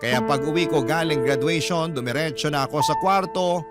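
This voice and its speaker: Loud piano plays beneath a male actor as a sorrowful older brother telling his story. His voice is fairly high, with a Filipino accent. He confides politely and with hurt, in the manner of a radio drama confession. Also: moderate pace, close to an everyday voice. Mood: sad, heartfelt. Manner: heartfelt, wounded, polite. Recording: loud piano music under voice.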